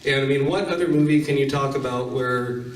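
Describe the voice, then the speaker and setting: A man's voice: medium pitch, brisk, a little hiss or hum. A man hosting a casual panel talk, putting questions to an audience. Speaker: panel host at a gaming expo. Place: convention panel room. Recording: echoey room, distant microphone.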